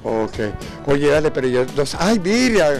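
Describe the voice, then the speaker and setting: A Venezuelan man hosts an informal interview on location. His voice sits a little high, and he is talkative and inquisitive. Faint music plays behind him. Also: very animated, moderate pace, vocal fry. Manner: chatty, inquisitive. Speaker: TV host and interviewer.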